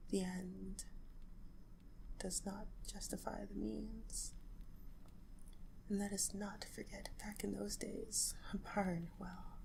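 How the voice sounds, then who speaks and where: A woman whispers softly through an ASMR talk, speaking right to the listener. Her pace is slow and unhurried, and a gravelly fry runs through the hush. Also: mid-range voice, rough recording. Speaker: ASMR creator. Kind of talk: whispered ASMR story commentary.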